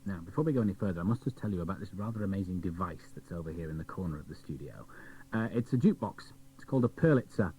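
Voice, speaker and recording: A man's voice: deep voice, lively intonation, racing, mellow, faint music behind. A man presenting a children's radio show, chatting directly to the listeners. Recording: dull old cassette radio recording.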